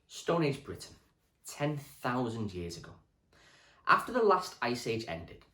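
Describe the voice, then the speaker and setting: A man, a primary school teacher, reading a children's history book aloud with clear, expressive storytelling for children. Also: fairly low pitch. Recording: echoey room.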